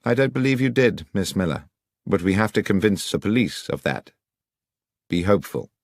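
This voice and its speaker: An incisive gentleman detective, played by a male audiobook narrator, formal and precise. He questions and reasons with cool authority, his pitch moving freely, in a very dry recording. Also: lively intonation, moderate pace, vocal fry, no echo. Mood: brisk, probing. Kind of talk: audiobook character voice.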